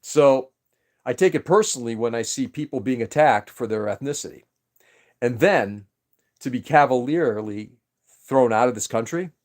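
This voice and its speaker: A man's voice: mid-range voice, moderate pace, darkish tone. A man whose first language was Greek, chatting casually on a livestream, rambling and greeting viewers by name. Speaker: livestream host.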